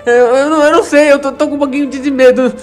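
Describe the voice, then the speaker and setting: A man's voice: very high voice, steady tone, quick pace, faint music behind, pitched above the performer's own voice. A man voicing a timid, giggly young male sidekick in a game video, who talks in a shy, stammering way. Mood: sheepish.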